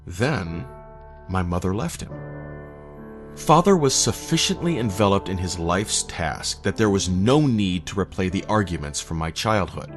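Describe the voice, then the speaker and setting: A man reading a scripted first-person horror story in a measured, somber, literary manner. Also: sits low, lively intonation, soft background music.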